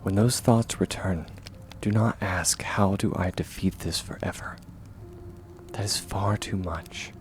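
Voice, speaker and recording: A man playing a gentle, steadfast young swordsman mentor in a comfort ASMR roleplay, speaking softly and reassuringly, at times close to a whisper. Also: low-pitched, soft background music. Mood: gentle, encouraging. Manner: soft, reassuring, measured, often near whisper.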